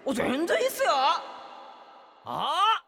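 A man playing a casual, excitable young swordsman-for-hire in a comedic period radio drama. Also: very high voice, slow pace, gravelly, no echo, heavily acted. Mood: excited. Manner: casual slangy speech, excitable.

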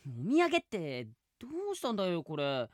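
A woman's voice: lowish voice, huge pitch swings, moderate pace, darkish tone, no echo, clean studio sound. A woman playing an exasperated, responsible young male samurai, the blunt, scolding straight man of a comedic audio drama. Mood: annoyed. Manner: blunt, scolding boyish speech.

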